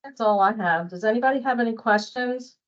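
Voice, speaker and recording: A woman's voice: fairly high pitch, fairly quick, lo-fi sound. A woman giving an informal spoken explanation as presenter at a staff training.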